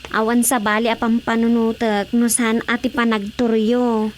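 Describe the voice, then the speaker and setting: A woman's voice: on the high side, little pitch movement, moderate pace, close to an everyday voice. A woman playing a fretful, evasive young daughter in an Ilocano radio drama, with faint noise behind her.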